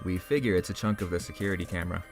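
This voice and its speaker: Man as a deep-voiced, laid-back secret agent. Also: racing, a little mellow. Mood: casual briefing. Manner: breezy, cool, teasing. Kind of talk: audio drama acting. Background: music.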